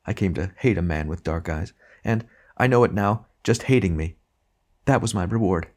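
A man's voice: deep voice, normal speed, natural voice. A man voicing the ghost of a domineering wife, complaining and self-righteous in an audiobook reading.

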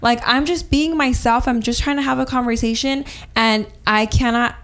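A woman's voice: a little high, steady tone, normal speed, fairly bright tone. A young woman hosting a casual podcast chat, talking emphatically with frequent restarts. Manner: emphatic, rising to exasperated quoting. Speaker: podcast host.

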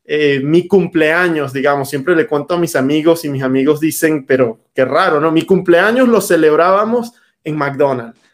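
Guy, slightly high voice, stops and restarts a lot while telling his story. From Venezuela. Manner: halting, many fillers and restarts. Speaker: guest, startup founder. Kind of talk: conversational interview, storytelling.

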